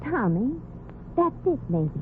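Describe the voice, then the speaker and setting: A woman's voice: fairly high pitch, very animated, normal speed, a little hiss or hum. An actress playing a streetwise, wisecracking young showgirl in a 1940s radio comedy. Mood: quick-witted. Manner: brassy, wisecracking. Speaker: lead character in a radio comedy. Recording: old radio broadcast, lo-fi.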